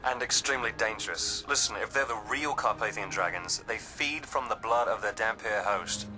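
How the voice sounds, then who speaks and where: An actor playing a mission handler in a video game, explaining things in a businesslike way. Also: male voice, on the low side, moderate pace, music in the background, lightly acted, low-quality recording.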